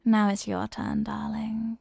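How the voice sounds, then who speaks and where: A woman's voice: mid-range voice, monotone, takes it slowly, gravelly, darkish tone, no echo, full character voice. A woman playing a flirty, playful stranger at a New Year's party in an intimate audio roleplay, with a coy, teasing, murmuring delivery. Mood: flirty, hopeful.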